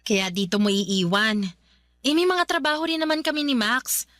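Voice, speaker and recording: High, sharp, indignant Filipino girlfriend. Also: expressive, normal speed, no room sound, studio quality. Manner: confrontational, exasperated, emphatic. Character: indignant young live-in girlfriend arguing with her partner. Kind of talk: Tagalog radio drama acting.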